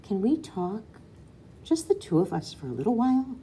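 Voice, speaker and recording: A woman's voice: mid-range voice, big pitch swings, moderate pace, warm tone, voice pushed up. Lady doing a loving but hurt mom from a storybook, pleading, urgent, slight noise behind. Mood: tender, pleading. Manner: tender, urgent. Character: loving wife and mother, hurt, pleading, turning angry.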